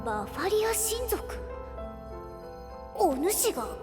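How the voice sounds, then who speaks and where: An actress playing a haughty small companion in an anime game, blunt and mocking, with archaic old-sage diction. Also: female voice, very high voice, very animated, slow pace, in a large hall, music in the background, exaggerated voice. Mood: mocking disbelief. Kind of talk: Japanese anime game voice acting.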